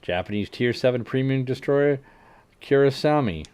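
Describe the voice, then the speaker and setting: A man hosting a livestream, casually reading game update notes aloud and adding wry asides that trail off quietly. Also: medium pitch, expressive, moderate pace, smooth. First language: English. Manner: frequent fillers and restarts while working out numbers. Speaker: livestream host.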